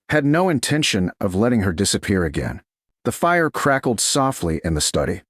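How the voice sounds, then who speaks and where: A man's voice: lowish voice, dry recording, studio quality. A man reading dramatic scripted romance narration as an audiobook narrator.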